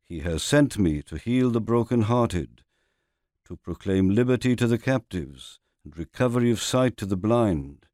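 A man reading a scripted expository sermon in a measured, formal manner with deliberate phrasing. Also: fairly low pitch, a little mellow, dry recording, studio quality. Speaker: reader of a historical sermon.

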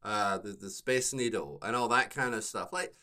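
A man co-hosting a podcast in casual discussion, speaking in a halting way and circling back over his phrases. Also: on the low side, sharp tone. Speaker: podcast co-host.

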